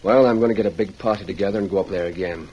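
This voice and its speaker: An actor playing a plainspoken frontier sheriff with a rustic American drawl, in old-time radio Western style. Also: male voice, low voice, quick pace, warm tone, husky. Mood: puzzled, cooperative. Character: Western frontier town sheriff, plainspoken, folksy, steady male lawman. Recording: muffled, noisy vintage radio recording.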